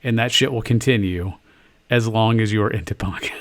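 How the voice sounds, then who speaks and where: A man's voice: lowish voice, normal speed. A man co-hosting a podcast in casual chat, joking and swearing freely, often trailing off into fillers. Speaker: podcast co-host.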